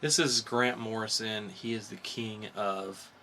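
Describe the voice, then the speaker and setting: A man's voice: on the low side, fairly even intonation, measured pace, sharp tone, slight background noise. A man co-hosting a podcast, giving an off-the-cuff review in a hesitant, hedging way, rephrasing as he goes. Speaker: podcast co-host reviewing comics. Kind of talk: casual, unscripted review chat.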